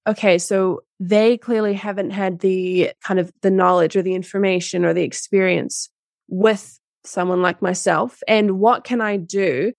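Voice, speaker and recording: A woman's voice: medium pitch, no room sound, studio quality. A woman in her twenties who grew up in Dubai, a guest co-author talking casually in an interview, searching for her words with many fillers and restarts.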